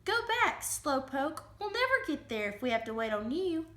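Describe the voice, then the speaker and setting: A woman's voice: sits high, big pitch swings, moderate pace, fairly bright tone. A woman voicing mocking, impatient young sheep in a children's storybook. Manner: taunting, impatient. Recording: roomy, echoing room sound.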